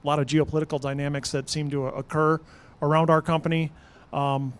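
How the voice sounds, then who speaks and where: A brisk man introduces himself on a conference stage, matter-of-fact and quick. His voice is smooth and crisp, sitting a little higher than most men's. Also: flat delivery. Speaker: conference panelist. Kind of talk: panel self-introduction.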